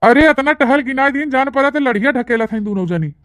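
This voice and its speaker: Woman as a high-voiced, bossy Awadhi brother-in-law. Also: animated, fairly quick, dry recording. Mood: irritated, scolding. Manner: scolding, grumbling, imperious. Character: bossy, short-tempered young brother-in-law, demanding and sulky. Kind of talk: cartoon comedy dialogue.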